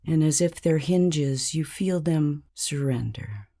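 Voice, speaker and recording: A woman narrating a scripted guided meditation in a calm, measured, soothing manner with long pauses. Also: low-pitched.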